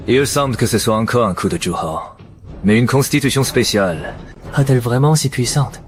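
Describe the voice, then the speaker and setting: A man playing an impulsive young martial arts student in a dubbed animated drama. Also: mid-range voice, normal speed, close to an everyday voice. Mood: musing. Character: impulsive young male prodigy, newcomer martial student, secretly formidable.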